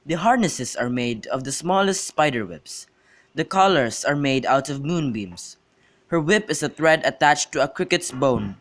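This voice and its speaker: A young man in an amateur radio drama, playing a witty, teasing young gentleman and declaiming in a bantering way. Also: a little high, animated, normal speed. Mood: fanciful storytelling. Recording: clearly noisy amateur recording with clicks.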